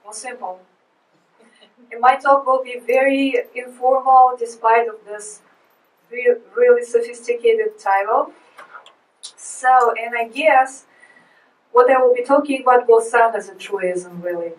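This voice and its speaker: A woman beginning an informal talk, speaking deliberately. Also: fairly high pitch, fairly even intonation. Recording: echoey room from far off.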